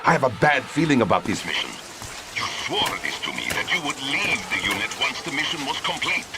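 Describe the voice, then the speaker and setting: A man's voice: mid-range voice, big pitch swings, brisk. A man playing a stern, brooding older mercenary commander with a Russian accent in a cinematic video game. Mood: grave foreboding. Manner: grave, commanding. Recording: rain and loud storm noise behind.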